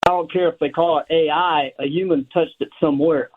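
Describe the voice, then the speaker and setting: A man's voice: a little high, steady tone, fairly quick. An older American man calling in to a radio show by phone, chatting casually in a folksy, self-deprecating way. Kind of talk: casual chat. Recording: narrow tinny phone line.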